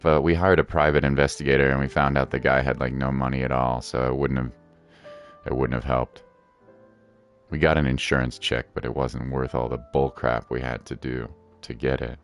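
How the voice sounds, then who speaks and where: Low-voiced man rambling on a game stream. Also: level tone, normal speed. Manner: rambling, joking, slangy. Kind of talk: casual live gaming commentary. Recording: game music behind voice.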